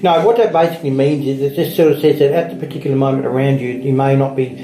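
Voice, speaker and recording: A woman's voice: extremely low pitch, quick pace. A woman psychic giving an informal card reading, explaining things repetitively and often checking for agreement. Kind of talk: casual one-to-one card reading. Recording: roomy echo with music behind.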